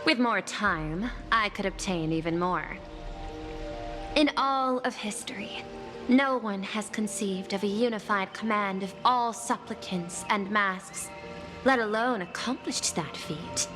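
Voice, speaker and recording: An actress playing a poised, calculating corporate envoy in a video game, speaking formally and deliberately. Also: female voice, mid-range voice, natural voice. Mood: persuasive, confident. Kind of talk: scripted video game dialogue. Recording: long reverb, clear music underneath.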